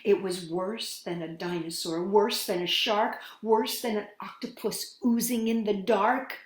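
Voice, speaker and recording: A woman hosting a children's storytime, reading a picture book aloud in an expressive, sing-song way with dramatic pauses. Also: mid-range voice. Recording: roomy echo.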